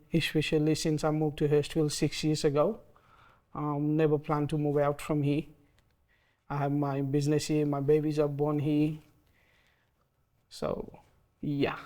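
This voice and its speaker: Man, 30s, Nepali accent, hesitant, slightly high voice, clear tone. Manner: soft-spoken, hesitant, frequent ums. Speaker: interviewee. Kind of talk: oral history interview.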